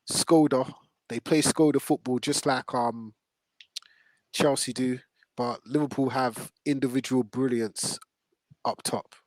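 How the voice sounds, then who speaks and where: A man's voice: mid-range voice, no room sound. A man hosting a casual football discussion in slangy, emphatic speech. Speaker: podcast host.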